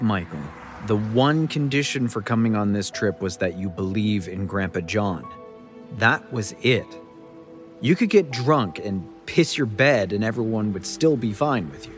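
A man's voice: on the low side, lively intonation, moderate pace, music in the background, pitched above the performer's own voice, natural voice. A man voicing a stern, protective father in a story, speaking in a firm, intimidating way. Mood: stern, scolding. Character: stern, threatening father, protective brother-in-law.

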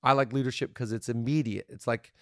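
Upbeat male podcast host, mid-pitched, playful and chatty, dry close studio sound. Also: fairly even intonation, fairly bright tone, dry recording. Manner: upbeat, playful, quick to laugh. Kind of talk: casual podcast talk on leadership.

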